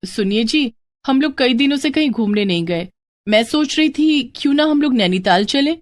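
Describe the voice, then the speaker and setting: A woman's voice: sits high, expressive, moderate pace, pitched above the performer's own voice. A woman as an earnest, determined young sister-in-law. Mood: friendly, coaxing. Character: determined, caring young married sister-in-law, sly and warm. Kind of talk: dramatized Hindi moral story.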